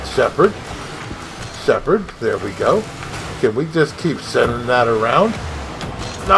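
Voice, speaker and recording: A man streaming a video game live, giving excitable play-by-play with shouted catchphrases. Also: on the high side, vocal fry. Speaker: gaming streamer. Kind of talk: live gameplay commentary.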